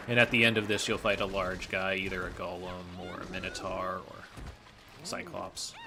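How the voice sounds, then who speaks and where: A man's voice: low voice, background noise. A man giving explanatory gameplay commentary as a speedrunner, analytical and matter-of-fact.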